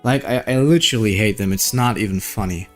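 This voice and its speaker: Music plays behind a streamer talking casually over a game. The voice sits at a middle pitch. The delivery is informal and grumbling, with some mild swearing. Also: brisk. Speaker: livestreamer playing a video game. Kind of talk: casual gaming commentary.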